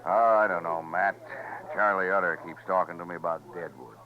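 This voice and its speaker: An American actor playing a confident, easygoing frontier gunfighter in a 1950s radio western. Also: male voice, low-pitched, steady tone, brisk, crowd noise, close to an everyday voice. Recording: muffled hissy old radio recording.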